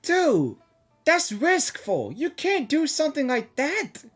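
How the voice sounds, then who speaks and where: A woman giving casual, excitable gaming reaction commentary, slangy, repeating words for emphasis. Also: high-pitched, slow. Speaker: gaming reaction video host. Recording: game music faintly behind.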